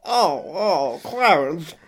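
A man's voice: fairly high pitch, animated, very slow, fairly bright tone, breathy edge, voice pushed up, heavily acted, rough recording. A man voicing a sentimental, wailing old-movie hero. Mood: pleading.

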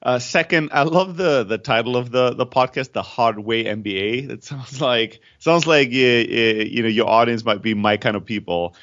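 A startup founder in his thirties, originally from Greece and raised in Germany, talks through his story with restless energy and keeps restarting his phrases. His voice sits at an ordinary middle pitch. The audio is a little dull and lo-fi. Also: normal speed, few pauses. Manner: energetic, frequent restarts and repeated words. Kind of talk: candid interview storytelling.

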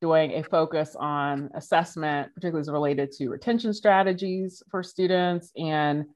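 Low-voiced woman, animated podcast guest. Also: normal speed. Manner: animated, laughs while telling stories. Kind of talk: conversational interview answers.

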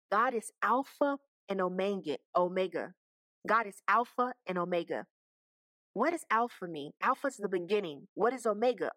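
A woman teaches from the Bible in a relaxed, conversational way and often stops to ask her listeners "okay?" Her voice sits at a middle pitch. The recording is dry and close, with no room sound. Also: dry recording. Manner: conversational, keeps checking in with "okay?" and "right?".

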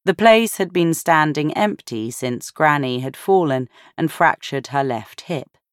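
A woman narrating a novel in a measured, expressive storytelling manner. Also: sits low, no echo, clean studio sound. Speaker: audiobook narrator.

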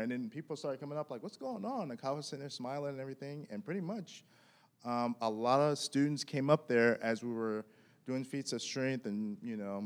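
A man with a voice of middling pitch tells a story before a church. His talk is loose and casual, with plenty of fillers and "you know"s. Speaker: guest telling a story in church.

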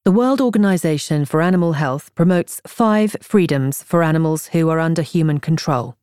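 A woman reading a nonfiction audiobook in a measured, articulate way, as a scripted narrator. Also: deep voice, darkish tone, clear, resonant tone, dry recording, clean studio sound.

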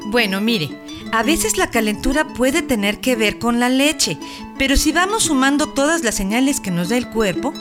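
A woman's voice: fairly high pitch, expressive, moderate pace, music in the background. A woman acting as a polite helpline operator in a scripted radio spot, guiding a caller helpfully.

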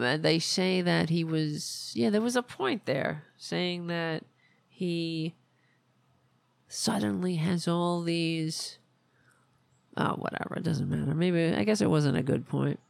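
A woman hosting a political podcast, hoarse from losing her voice, ranting in a rambling way and losing her train of thought. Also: low voice, dry recording. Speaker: podcast host. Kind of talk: casual political rant.

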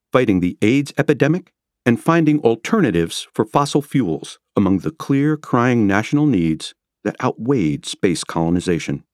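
Expressive male audiobook narrator, very lively intonation. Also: on the low side, no echo, clean studio sound. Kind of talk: scripted nonfiction audiobook narration. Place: close, dry studio recording.

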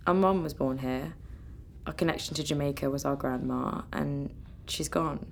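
Grieving young British woman, low pitch, creaky, sceptical, matter-of-fact, naturalistic radio drama. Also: moderate pace, slight background noise. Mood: candid, weary. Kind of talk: naturalistic radio play acting.

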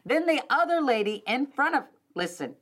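A middle-aged woman hosting a podcast, telling a story in an animated, casual way and addressing listeners directly. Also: sits high, normal speed. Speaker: podcast host.

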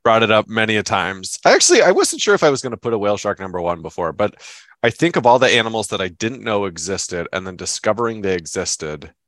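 A man's voice: lowish voice, fairly bright tone, no room sound. A man co-hosting a podcast in casual chat, sounding stuffed up and talking in an enthusiastic, rambling way. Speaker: podcast co-host.

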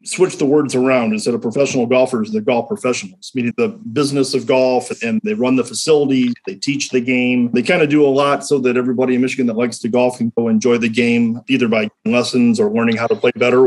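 Slightly raspy man with a raw throat. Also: medium pitch, fairly even intonation, fast, hardly any pauses, darkish tone, low-quality recording. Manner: chatty, easygoing explainer. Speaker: golf association executive director. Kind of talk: interview guest.

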